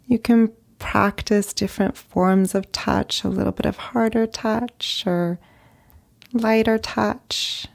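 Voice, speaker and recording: A woman leading a guided meditation as a therapist, speaking gently in short phrases with long pauses. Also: medium pitch, fairly even intonation, gravelly. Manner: slow, gentle, pausing between short phrases.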